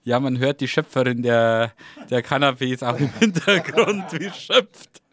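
A man's voice: medium pitch, a little slow, background noise. A man hosting a live radio show in a casual, chatty style.

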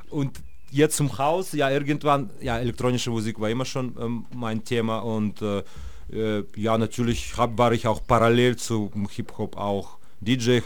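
A man's voice: medium pitch, a little mellow, smooth. A man, a music producer and DJ, talking casually as an interview guest, with many fillers and restarts. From Russia. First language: Russian. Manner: hesitant, many fillers and restarts, laughs easily.

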